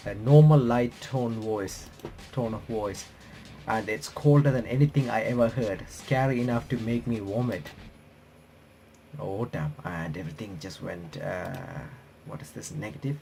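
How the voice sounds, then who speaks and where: A man reading visual novel text aloud haltingly, with casual reaction commentary. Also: fairly low pitch, gravelly, mellow, slight background noise. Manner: halting read-aloud, stumbling and rereading phrases. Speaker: let's-play video creator reading a visual novel aloud. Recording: game soundtrack playing behind the voice. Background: visual novel music.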